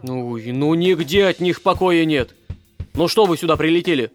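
Male actor as mocking children's-play villain: highish voice, unhurried drawl, gloating and mock-sweet, music underneath. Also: natural voice. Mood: grumbling, irritated.

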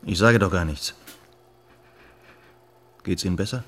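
An actor playing a thoughtful male investigator in a radio drama, speaking in a measured, understated, reflective way. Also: sits low, a bit bright, a little hiss or hum. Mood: calm, pensive. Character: unhurried, thoughtful male investigator, storytelling sleuth.

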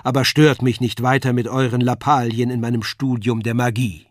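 A man's voice: mid-range voice, flat delivery, moderate pace, no room sound, studio quality, lightly acted. A man voicing a dignified learned magician in a storybook puppet play, formal, stately and condescending. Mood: haughty.

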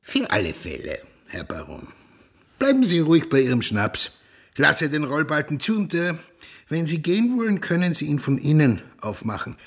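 A man's voice: on the high side, wildly swooping pitch, normal speed, husky, full character voice. A man in a radio play acting a silver-bearded café owner, grave and courteous, with a formal, subdued delivery. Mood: grave, resigned.

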